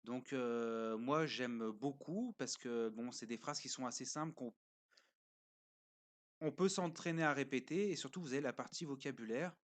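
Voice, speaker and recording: A man of middling pitch talks through a review in a loose, unscripted way. He often stops on fillers and walks the listener through each step as he goes, like someone showing things on a screen. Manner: hesitant, pauses often with fillers. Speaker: parent reviewing a learning platform. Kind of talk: casual spoken review.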